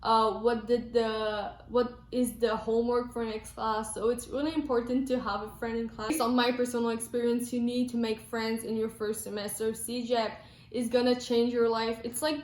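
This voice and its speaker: A woman's voice: on the high side, flat delivery, moderate pace, a little mellow. Chatty young woman, Quebec French accent. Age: young adult. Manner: chatty, emphatic, restarts words often. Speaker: vlogger. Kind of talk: casual chat to camera. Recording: roomy echo.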